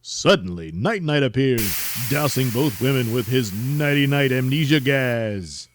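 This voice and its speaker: A man's voice: mid-range voice, animated, unhurried, a little hiss or hum. A man giving dramatic scripted narration for a comic audio serial.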